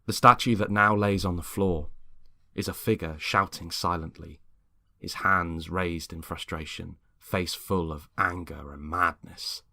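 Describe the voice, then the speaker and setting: A man's voice: sits low, fairly even intonation, unhurried, creaky, sharp tone. A man reading a scripted story aloud as a dramatic narrator. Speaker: story reader.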